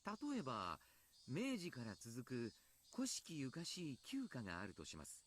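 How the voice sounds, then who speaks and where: Fast, swooping, polite coach. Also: male voice, mid-range voice, huge pitch swings, fairly bright tone. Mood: eager, persuasive. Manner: polite, theatrical lecturing. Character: cool, courteous adult male coach with gleefully perverted fantasies. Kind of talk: Japanese visual novel voice acting.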